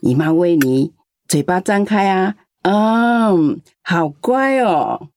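A woman's voice: medium pitch, lively intonation, takes it slowly, darkish tone, no room sound, studio quality. An actress playing a lonely, doting elderly grandmother in a radio drama. She talks in a coaxing, chatty way and coughs now and then. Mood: affectionate. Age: older.

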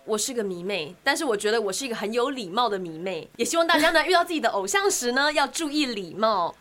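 A woman's voice: fairly high pitch, expressive, fast talker, a bit bright. A woman with a Taiwanese accent, a guest chatting casually on a podcast. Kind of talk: casual chat.

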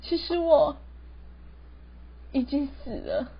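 A woman's voice: sits high, expressive, slow, vocal fry, slight background noise, exaggerated voice. A teenage girl with a Taiwanese Mandarin accent playing a wronged, emotional young ghost daughter in an amateur radio drama. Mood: distressed. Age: teens. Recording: dull lo-fi student recording.

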